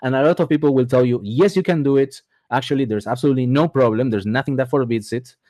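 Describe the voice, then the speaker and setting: Argentine guy running a webinar, mid pitch, dead dry mic, keeps saying okay?. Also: fast talker, warm tone, no echo. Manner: repeats words for emphasis, frequent "okay?" check-ins. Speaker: immigration advisor presenting a webinar. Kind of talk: explanatory webinar presentation.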